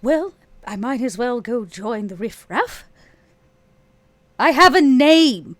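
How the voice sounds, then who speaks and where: A woman's voice: on the high side, lively intonation, slow, no echo, pitched above the performer's own voice. A woman voicing a bold, haughty female vampire in a tabletop game, wry and coolly threatening.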